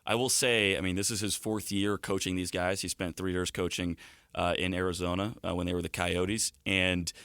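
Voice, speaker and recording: A man with a fairly low voice talks sports as a guest analyst. He explains in a fluent, conversational way, leaning on stories, and the sound is dry with very little room on it. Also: level tone, normal speed, a bit bright, clear, resonant tone, no room sound. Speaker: hockey play-by-play broadcaster as studio guest.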